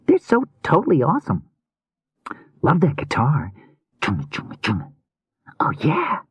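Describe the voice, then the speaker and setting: A man's voice: a little high, wildly swooping pitch, normal speed, slightly rough, dry recording, voice pushed up, full character voice. A man voicing a cool, opinionated young boy with gushing slang in an audiobook. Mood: enthusiastic. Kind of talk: audiobook character dialogue.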